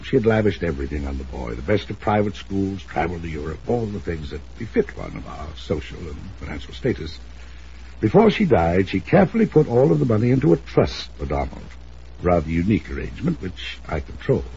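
Stuffy short-tempered rich older man, old radio play, real animated pitch, dull hissy sound. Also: a little fast, vocal fry, exaggerated voice. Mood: stiff, dignified. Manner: pompous, blustering, hesitant formal speech. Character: wealthy pompous retired uncle, controlling and short-tempered. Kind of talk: 1950s radio drama acting.

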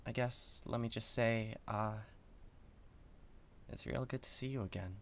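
A man playing an excitable, doting devil in a roleplay audio, stammering and gushing with awkward, playful affection. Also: medium pitch, measured pace, a little hiss or hum, lightly acted. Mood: tender, awkward. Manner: stammering, gushing, swings from gentle to manic.

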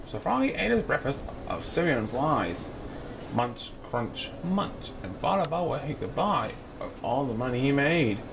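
A young man reads a children's picture book with a very animated, swooping delivery. He playfully performs the sound effects. There is clear background noise from a home recording. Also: mid-range voice, unhurried. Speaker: storyteller reading a picture book. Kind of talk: children's read-aloud narration.

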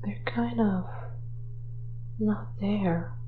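A woman's voice: medium pitch, extremely slow, narrow, tinny audio. A woman voicing a shy, gloomy ghost in an audio drama, hesitant and trailing off. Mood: fearful, faltering. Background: music.

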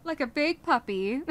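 A woman's voice: high-pitched, heavily acted. A woman voicing a caring, teasing, motherly vampire woman in a soothing roleplay audio, coaxing and speaking directly to the listener. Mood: playful. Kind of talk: roleplay audio acting, ASMR-like.

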